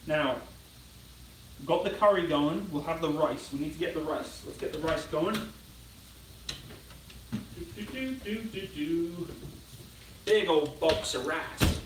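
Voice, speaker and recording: A man's voice: on the high side, echoey room, distant mic, background noise. A young British man hosting a casual live-stream, chatting and reading viewer comments aloud while he cooks in his home kitchen. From United Kingdom. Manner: chatty, reads out viewer comments and answers them. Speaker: channel host.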